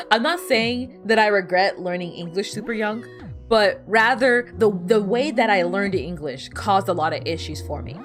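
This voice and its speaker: Woman streaming a game, spanish is her first language, rambly chatty talk, mid pitch, soft game music behind. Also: expressive, unhurried. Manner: rambling, restarts sentences, chats with viewers. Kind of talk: casual chat while playing a video game.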